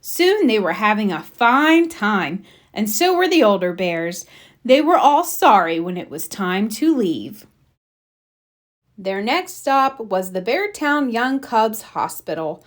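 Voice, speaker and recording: A woman reading a children's storybook aloud, with expressive, emphatic narration for young listeners. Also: mid-range voice, slow. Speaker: storybook reader.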